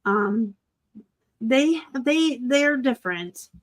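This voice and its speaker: A woman from Arizona chatting casually as host of a live stream, talkative and quick to laugh. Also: on the high side, lively intonation. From Arizona, raised by a Southern family. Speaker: host of a reseller live chat.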